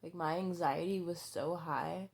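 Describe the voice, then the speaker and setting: Twenty-something woman vlogging, low voice, slow, keeps restarting, swears a lot. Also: steady tone, creaky, darkish tone. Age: 20s. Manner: halting, hesitant, with many restarts and fillers; blunt and candid, swearing freely. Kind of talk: personal confessional vlog.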